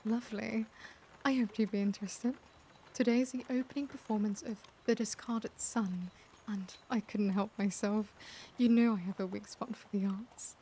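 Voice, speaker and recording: A woman voicing an elegant, caring vampire lady in a soft-spoken ASMR roleplay, speaking gently with formal, old-world courtesy. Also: medium pitch, steady tone, moderate pace, a little hiss or hum, natural voice, poor audio. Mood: courteous, inviting. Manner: genteel, gentle, doting, formal wording.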